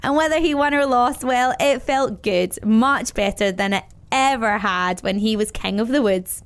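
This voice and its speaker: A woman narrating a children's story as an expressive storyteller. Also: a little high, normal speed, clear, resonant tone.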